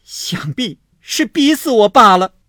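A reader voicing a spiteful, scheming young wife who sneers and wails accusations in a storybook reading. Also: female voice, very deep voice, very animated, takes it slowly, breathy edge, no room sound, clean studio sound, voice pushed up, exaggerated voice. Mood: scornful shrill.

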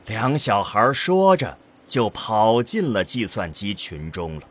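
A man's voice: lowish voice, big pitch swings, takes it slowly, fairly bright tone, husky. A man narrating a scripted science fiction audio drama in a dramatic storytelling style.

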